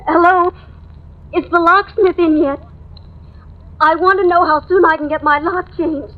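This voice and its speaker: A woman's voice: very high-pitched, steady tone, measured pace. An actress playing a jittery, suspicious married woman in an old-time radio thriller, nervous and hesitant in her speech. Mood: anxious. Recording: dull lo-fi old radio recording.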